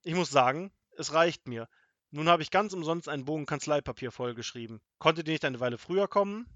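Irascible village sergeant for children's audiobook, performed by a male reader. Gruff, officious, forceful delivery, dry room. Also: mid-range voice, a little fast, no room sound, dull audio, lightly acted. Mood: exasperated, emphatic. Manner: gruff, pompous, shouting in outrage.

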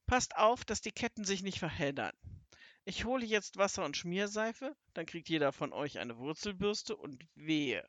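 A storybook robber, gruff and overbearing, performed by a man. He barks orders and snarls threats with greedy impatience. The voice rides higher than plain narration, in a very dry recording. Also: sing-song, normal speed, fairly bright tone, no room sound, exaggerated voice. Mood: threatening, commanding. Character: gruff, bullying, greedy adult male robber.

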